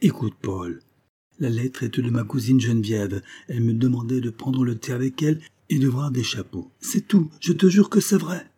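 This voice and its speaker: A man's voice: medium pitch, moderate pace, dark tone, breathy edge, close to an everyday voice. A man voicing a tender but nervous young wife in a storybook reading, protesting indignantly and pleading in exclamations.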